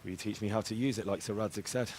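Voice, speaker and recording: A man's voice: lowish voice, fairly even intonation, very fast, darkish tone, slight background noise, close to an everyday voice. A man acting as a restless, earnest young blacksmith's son in a video game cutscene, youthful and insistent. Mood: eager, insistent.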